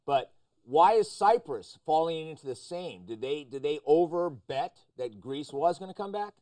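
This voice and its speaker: A man's voice: fairly high pitch, expressive, normal speed, darkish tone. A man hosting a news discussion, putting probing questions and cutting in to press the point. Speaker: talk show host. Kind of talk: interview-style discussion of news.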